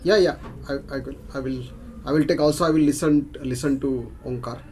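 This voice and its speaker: A man with an Indian accent speaking haltingly as a participant on a video call. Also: mid-range voice, darkish tone, slight background noise, poor audio. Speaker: club session participant.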